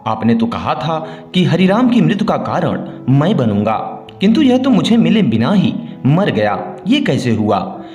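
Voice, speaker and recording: A man's voice: on the high side, sing-song, unhurried, few pauses, darkish tone, muffled recording. Man voicing an earnest, questioning village traveller, echoey. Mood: troubled, questioning. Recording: roomy echo, music underneath.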